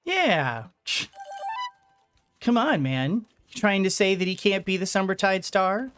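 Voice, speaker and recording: A man's voice: high voice, lively intonation, takes it slowly, music in the background. Rambling man doing game commentary. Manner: rambling, thinking out loud, talking to the game. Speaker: gaming video host. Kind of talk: casual let's play commentary.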